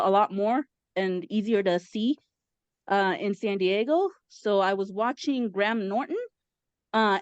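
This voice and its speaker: A woman's voice: mid-range voice, darkish tone, no room sound. Chatty Mexican-born woman on a muffled video call. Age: 30s. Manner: eager, chatty, many fillers. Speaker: caller. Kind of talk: casual chat.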